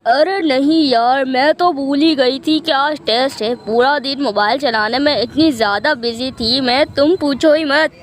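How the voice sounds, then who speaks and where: A woman's voice: sits high, unhurried, few pauses, slight background noise. Woman voicing a carefree student girl. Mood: offhand. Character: carefree lazy female student.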